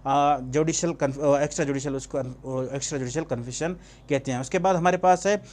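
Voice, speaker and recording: A man's voice: a little high, a little fast, darkish tone. A man with a Pakistani accent gives an informal exam-prep lecture as a teacher, posing questions and answering them himself and mixing in English terms. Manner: switches between Urdu and English terms. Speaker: law teacher.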